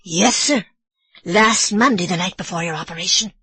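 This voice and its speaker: A woman's voice: on the low side, moderate pace, sharp tone, breathy edge, no echo, heavily acted. A woman voicing an anxious elderly housekeeper woman with an Irish brogue, apologetic and deferential. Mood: hesitant. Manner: apologetic, deferential, sir-ing constantly.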